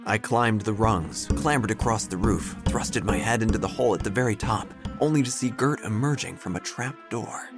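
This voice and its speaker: An actor playing a melodramatic, self-important obituary writer who narrates an audio drama with theatrical pauses. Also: male voice, fairly low pitch, music in the background, lightly acted. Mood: dry, dramatic. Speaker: narrator and protagonist. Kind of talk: first-person audio drama narration, gothic parody.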